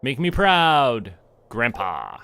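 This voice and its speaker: A man's voice: mid-range voice, huge pitch swings, extremely slow, pushed and bright, no echo, exaggerated voice. A man voicing a fond old grandfather in a video game, earnestly reading out his farewell letter. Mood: fond, proud.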